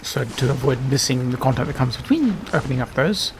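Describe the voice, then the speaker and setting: Gravelly man narrating his gameplay. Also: mid-range voice, expressive, a little fast, noisy background. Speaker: gaming video host. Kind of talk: casual gameplay commentary.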